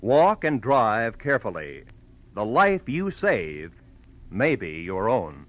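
An American man announcing in formal, measured 1940s network radio style, with polished delivery. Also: fairly low pitch, huge pitch swings. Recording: vintage radio recording with faint music.

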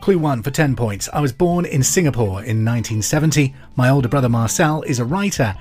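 Male quiz host, reads questions twice, clear delivery, faint music under. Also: mid-range voice, expressive, brisk, darkish tone. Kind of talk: pub quiz podcast hosting.

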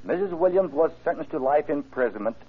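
A man's voice: fairly high pitch, expressive, a little fast, breathy edge, narrow, tinny audio. A man, a district attorney, giving a stiff, formal prepared statement on old-time radio. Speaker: district attorney, guest speaker. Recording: band-limited old radio broadcast.

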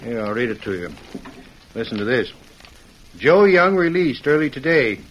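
An actor playing a plain-spoken, dutiful sheriff in an old radio western, matter-of-fact in manner. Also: male voice, medium pitch, big pitch swings, normal speed, fairly bright tone. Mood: serious, warning. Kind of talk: 1940s-50s radio western acting. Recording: dull, noisy old radio recording.

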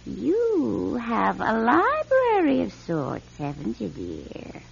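An actress playing a nosy, smug older spinster in a radio drama, with a sly, knowing, theatrical delivery. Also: female voice, a little high, huge pitch swings, slow pace, vocal fry, breathy edge, highs cut off, full character voice. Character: nosy, smug older spinster who collects neighbours' secrets.

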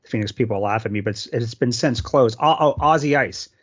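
A chatty man co-hosting a podcast, his voice at a plain middle pitch. He rambles easily from one story to the next. The audio comes through dull and lo-fi. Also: fairly quick. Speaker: podcast co-host. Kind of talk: casual hockey podcast chat.

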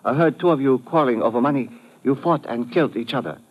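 An actor playing a smug, coldly menacing foreign doctor who schemes in formal, precise phrasing, in old-time radio drama style. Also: male voice, mid-range voice, fast talker, lightly acted. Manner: formal, precise, clipped wording with dropped articles. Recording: vintage muffled radio broadcast.

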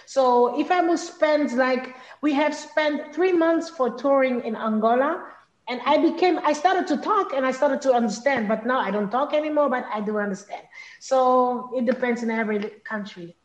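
A young woman from Congo whose first language is Lingala talks in a relaxed interview, sometimes stumbling and repeating herself. Her voice sits a little high. The room around her is echoey. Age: young adult. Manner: halting, repeats words while searching for phrasing. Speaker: interview guest, singer. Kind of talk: casual interview conversation.

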